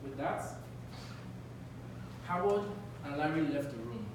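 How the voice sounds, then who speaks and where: A man from Nigeria tells his story on a live stage, with a wry, animated delivery and a lively pitch. He sounds far from the mic in a very echoey theatre with a noisy hum behind. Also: slow. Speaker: solo performer narrating.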